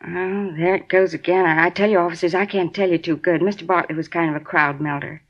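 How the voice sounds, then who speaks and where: An actress playing a flustered, rambling building manager. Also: female voice, lowish voice, steady tone, quick pace, close to an everyday voice. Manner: rambling, defensive, repeats herself. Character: flustered chatty middle-aged woman apartment manager. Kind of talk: 1950s radio drama acting. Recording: dull lo-fi 1950s radio broadcast.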